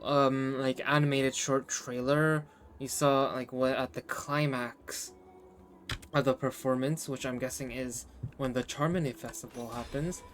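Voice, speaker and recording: A game streamer giving casual commentary, thinking aloud in a rambling, mildly sweary way. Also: mid-range voice.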